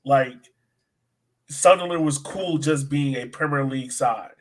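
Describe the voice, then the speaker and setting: A man's voice: mid-range voice, a little slow. A man hosting a sports podcast, chatting informally and repeating phrases for emphasis. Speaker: soccer podcast host. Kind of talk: casual sports discussion.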